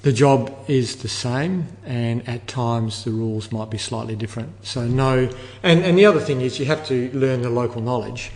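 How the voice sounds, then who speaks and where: An older man giving measured, explanatory answers as an interview guest, repeating words as he thinks. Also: medium pitch. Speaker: interview guest, air traffic control manager. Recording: long reverberant room echo.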